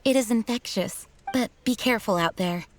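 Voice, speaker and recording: A woman voicing a composed young female knight commander in an anime video game, formal, measured and polite. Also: a little high, faint music behind, natural voice. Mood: composed, kind.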